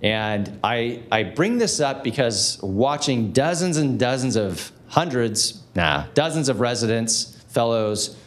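A man's voice: medium pitch, slow pace, hardly any pauses. A man in his forties moderating a medical conference panel, speaking energetically into a handheld mic in a conference room. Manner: energetic, gestures while talking.

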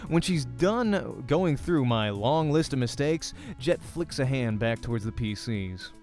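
A man's voice: mid-range voice, lively intonation, measured pace, a little mellow. A man hosting a let's play, informally reading visual novel narration aloud. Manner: informal, breaks off to comment. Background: background music.

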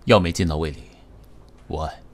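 Very deep-voiced, composed chairman played by an actor. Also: normal speed. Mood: calm, dry. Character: composed, calculating, wealthy company chairman. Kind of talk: Chinese radio drama acting.

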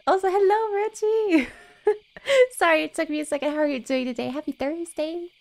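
A young woman chatting casually to viewers as a game livestreamer, expressive and giggly, on a close desk mic. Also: very high-pitched, moderate pace. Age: young adult. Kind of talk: casual chat with stream viewers. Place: indoor room, streaming setup. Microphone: close desk microphone on arm. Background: faint game music.

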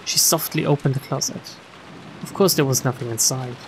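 A man reading a visual novel aloud as a streamer, with expressive narration and casual asides. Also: medium pitch, moderate pace, slight background noise, low-quality recording.

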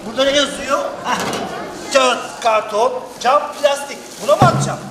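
In an echoing, noisy hall, a man acts out an eager, naive learner from a children's stage play. The voice is extremely high, with exaggerated questioning. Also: moderate pace. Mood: curious. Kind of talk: interactive children's theatre acting. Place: theatre stage in a large hall.

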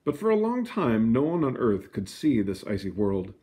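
A man reading a children's storybook aloud in an expressive, explanatory way, with casual asides. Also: medium pitch, normal speed. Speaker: storybook reader.

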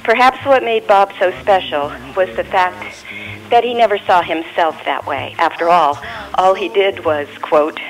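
A man delivering a prepared spoken tribute in a measured, formal style. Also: high voice, fairly bright tone, a little hiss or hum, rough recording. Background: faint music.

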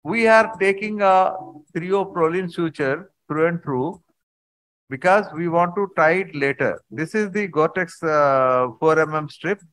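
A man, a surgeon, explaining surgical video clips in a halting conference talk over a video call. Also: on the high side, fairly bright tone, rough recording. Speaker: surgeon presenting cases.

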